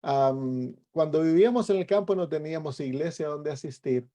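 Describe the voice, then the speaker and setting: A middle-aged man with a Chilean accent speaks as an evangelist pastor giving his testimony. His voice is slightly high for a man, with a dark, relaxed tone. He tells his story conversationally, pausing now and then as he searches for words. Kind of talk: personal testimony.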